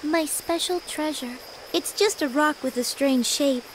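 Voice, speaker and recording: A woman playing a gentle, selfless, gravely ill teenage girl in a video game cutscene, soft-spoken and earnest. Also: high voice, a little slow, noisy background. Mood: calm, reassuring.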